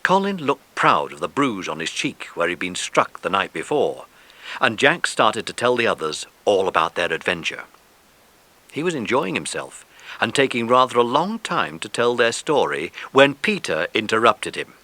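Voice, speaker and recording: A man's voice: fairly low pitch, sing-song, moderate pace, bright, forceful tone. A man narrating a children's story from a script, as the narrator of an audiobook.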